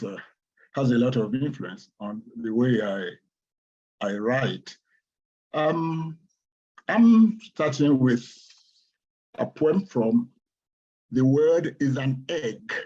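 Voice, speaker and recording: An older Nigerian man, a Yoruba speaker, introducing his poetry reading in a slow, measured, explanatory way over a video call. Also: medium pitch, darkish tone, breathy edge. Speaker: guest poet. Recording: dull lo-fi video call audio.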